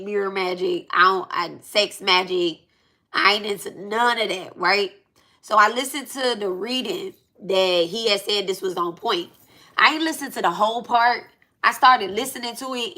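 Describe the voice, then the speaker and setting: A woman's voice: mid-range voice, sharp tone. A woman in her thirties talking casually on her own vlog, blunt and emphatic, often repeating herself and swearing. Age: 30s. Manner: blunt, emphatic, repetitive, profane. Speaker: spiritual reader and channel host. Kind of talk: casual venting vlog talk.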